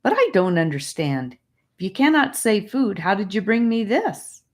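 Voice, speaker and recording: A woman voicing an excitable, impatient and greedy young boy in an audiobook, exclaiming and demanding. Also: mid-range voice, moderate pace, pitched above the performer's own voice, natural voice. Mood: puzzled. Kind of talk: audiobook character voice.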